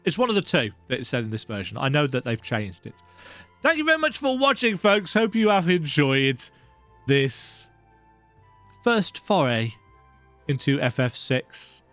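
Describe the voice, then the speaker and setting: A man hosts a let's play in a chatty, self-deprecating way. His voice is on the higher side and swings around with lots of animation. Game music plays behind his casual commentary. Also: sing-song, measured pace, a bit bright, dry recording. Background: video game music.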